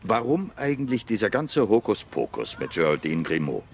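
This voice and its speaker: An actor in a radio crime drama playing a concerned, inquisitive man with a gravelly voice. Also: fairly low pitch, sing-song, normal speed, a little hiss or hum. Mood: probing, sympathetic. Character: concerned, inquisitive male acquaintance questioning a couple.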